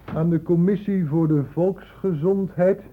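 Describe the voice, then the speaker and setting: Brisk medical inspector, tinny recording. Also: male voice, high voice, fairly even intonation. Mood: formal dictation. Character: brisk, sceptical male public health medical inspector. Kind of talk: radio play acting. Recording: tinny, noisy old radio play recording.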